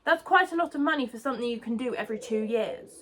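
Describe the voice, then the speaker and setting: A woman's voice: a little high, expressive, fast, a bit bright, slight background noise. A young British woman, about nineteen, ranting indignantly into her phone outdoors by a road. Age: young adult. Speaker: vlogger. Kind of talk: ranting vlog. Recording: phone mic outdoors, cars passing.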